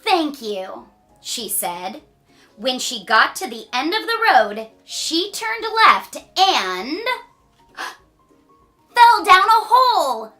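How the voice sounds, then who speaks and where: A woman reading a children's story aloud with playful asides and dramatic, drawn-out emphasis. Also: sits high, big pitch swings, sharp tone, soft background music. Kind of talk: animated read-aloud storytelling.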